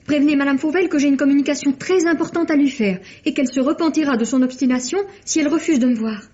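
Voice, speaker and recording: An actress playing a deferential household maid, formal in manner, in old French radio drama style. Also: female voice, high-pitched, quick pace, darkish tone, natural voice. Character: deferential maid announcing a visitor. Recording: dull lo-fi vintage radio recording.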